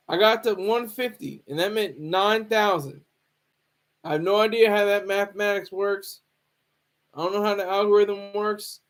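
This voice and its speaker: Male streamer, high voice, casual unscripted commentary, halting, rambling, repetitive phrasing. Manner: rambling, halting, repeats himself. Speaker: streamer commenting on hip hop news.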